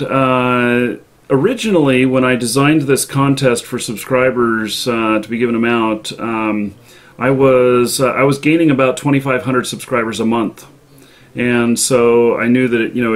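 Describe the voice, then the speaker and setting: Halting man hosting a call-in show. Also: mid-range voice, steady tone. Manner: halting, hesitant, polite. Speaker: live show host taking callers. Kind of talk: unscripted call-in show chat.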